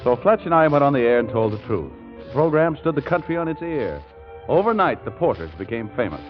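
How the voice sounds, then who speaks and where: A man's voice: mid-range voice, sing-song, brisk, natural voice. An actor playing a breezy, glib radio writer telling his story in a 1940s radio comedy. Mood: narrating. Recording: dull old 1940s radio recording, music behind.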